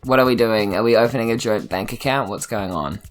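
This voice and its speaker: A man's voice: mid-range voice, a little fast, faint music behind. A man, playing a tabletop game and bantering in a casual, teasing way. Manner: joking, teasing.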